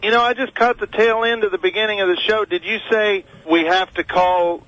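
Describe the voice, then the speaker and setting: A man phones in to a radio show. His voice is a bit high, and he speaks hesitantly in a deadpan, puzzled way, full of questions. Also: fairly quick, dry recording, dull audio. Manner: feigned confusion, hesitant, questioning. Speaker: prank caller to a radio show. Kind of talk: deadpan prank phone call.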